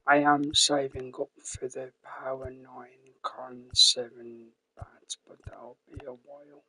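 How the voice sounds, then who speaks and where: A man commentating his own gameplay on a live stream, his voice croaky from the early hour. The tone is bright and gravelly with a husky edge, and he trails off into quiet whispers as he clicks through menus. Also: mid-range voice, little pitch movement, poor audio. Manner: quiet, halting, drifting into whispers. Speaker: gaming streamer.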